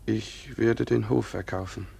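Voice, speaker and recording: Gravelly, gloomy farmer. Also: male voice, lowish voice, steady tone, a little slow, dark tone, full character voice. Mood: resolute, urgent. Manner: grave, fatalistic. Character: gloomy, resolute male farmer fearing the island's doom. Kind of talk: German radio play acting.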